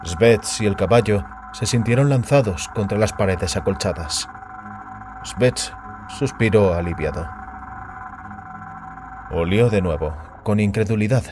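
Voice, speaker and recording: A man reading a science fiction story as a scripted, dramatic audiobook narration. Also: on the low side. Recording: music under the voice. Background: background music.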